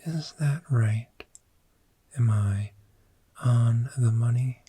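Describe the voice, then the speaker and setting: A man voicing a friendly, gentle dragon in a soft-spoken, soothing ASMR roleplay, with a breathy whisper and long pauses. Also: mid-range voice, no room sound. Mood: gentle, curious. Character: friendly, gentle cave dragon fond of sleep.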